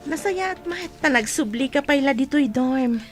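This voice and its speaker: A woman's voice: high voice, animated, normal speed, over music. A woman acting in a radio drama as a nosy but caring young friend, with a Filipino Ilocano accent. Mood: curious.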